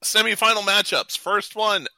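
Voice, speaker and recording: A man's voice: high-pitched, normal speed, bright, forceful tone, no echo. A man co-hosting a casual podcast, geeky in manner, reading out matchups and bantering with his co-hosts. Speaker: podcast co-host. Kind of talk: casual bantering panel chat.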